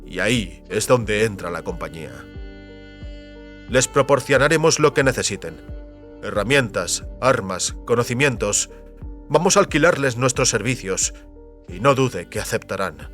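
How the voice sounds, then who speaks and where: A man plays the solemn patriarch of a secret family company in an audio drama. He is idealistic and speaks in grave, deliberate speeches at a medium male pitch. Soft music plays behind him. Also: expressive, lightly acted. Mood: fervent, resolute.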